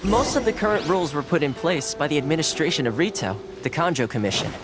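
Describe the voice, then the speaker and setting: An actor playing a friendly, playful young local fixer in a video game, speaking in a genial, casual way. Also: male voice, a little high, lively intonation, fast talker, music in the background, natural voice. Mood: relaxed, explanatory.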